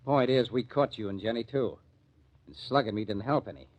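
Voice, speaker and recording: A man's voice: mid-range voice, a little fast, close to an everyday voice. A man acting a sardonic police lieutenant in an old-time radio crime drama, needling and interrogating. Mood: accusing. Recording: dull vintage radio recording.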